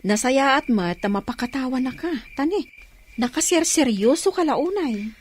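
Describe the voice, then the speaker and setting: A woman's voice: high voice, expressive, moderate pace, slight background noise. A woman playing a caring, teasing older-sister confidante with an Ilocano Filipino accent in a comedy radio drama. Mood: teasing, concerned.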